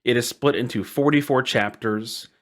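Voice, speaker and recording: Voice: mid-range voice, normal speed, fairly bright tone. A podcast co-host chatting casually and summarizing research in an amused, giggly manner.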